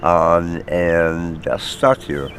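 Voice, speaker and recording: An American man in his forties giving halting, candid personal testimony in a street interview. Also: low voice, animated, fairly bright tone, a little hiss or hum. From Maryland, United States. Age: 40s. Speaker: homeless interviewee.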